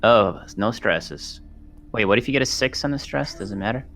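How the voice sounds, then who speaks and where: Male game player, low voice, casual table talk. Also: lively intonation, normal speed, fairly bright tone, faint music behind.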